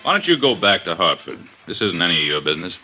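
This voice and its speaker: An American man playing a blunt, gruff but decent police inspector in a 1950s radio drama, pressing his points. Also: deep voice, big pitch swings, fast talker, sharp tone, exaggerated voice. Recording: dull vintage radio recording with music.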